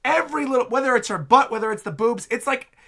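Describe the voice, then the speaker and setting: A man hosting a reaction video, chatting casually and excitably as he comments. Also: very high-pitched, fast.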